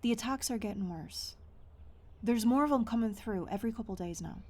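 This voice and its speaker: A woman acts a lone forest dweller in a fantasy audio drama. Her voice sits a little high, and her delivery is natural and earnest. She sounds guarded and stubborn, picking her words with some hesitation. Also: a little fast, a little mellow, lightly acted. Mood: troubled, earnest. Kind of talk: fantasy audio roleplay acting.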